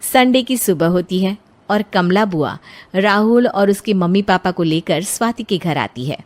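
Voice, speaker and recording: A woman's voice: mid-range voice, animated, unhurried. A woman reading scripted narration for a moral story.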